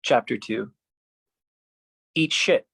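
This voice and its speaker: Slow-speaking man, spoken-word performer. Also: medium pitch, gravelly, a bit bright, breathy edge. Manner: slow, deliberate delivery with pauses. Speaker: solo performance artist, writer. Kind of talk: spoken-word performance of own poetic monologues.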